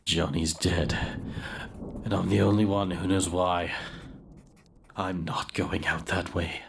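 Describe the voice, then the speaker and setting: A man playing a haunted, guilt-ridden young grave robber who narrates his ruin in a brooding, deliberate way for an audio drama, with noise behind him. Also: deep voice, level tone, heavily acted. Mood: despairing, resigned. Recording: clear background noise under the voice.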